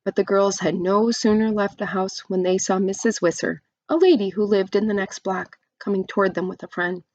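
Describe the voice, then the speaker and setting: A woman's voice: medium pitch, normal speed, a little mellow, no echo, highs cut off. A woman reading a children's storybook aloud expressively, like a teacher to young listeners.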